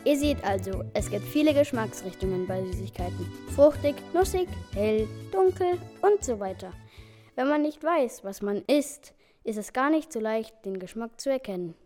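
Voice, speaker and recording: A woman reading a scripted summary in a clear, explanatory way. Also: fairly high pitch, animated, moderate pace, over music. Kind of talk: scripted narration.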